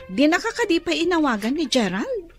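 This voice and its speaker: A woman's voice: high-pitched, very animated, a little fast, faint music behind. A woman with an Ilocano Filipino accent playing a curious, chatty young friend in a radio drama. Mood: puzzled, confiding.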